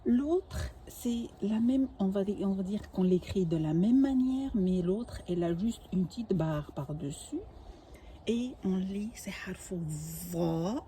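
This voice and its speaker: Older woman teaching slowly and patiently. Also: medium pitch, lively intonation, dark tone, slight background noise. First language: Arabic. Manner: patient, explains each word slowly with small restarts. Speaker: Arabic teacher. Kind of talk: home-made language lesson.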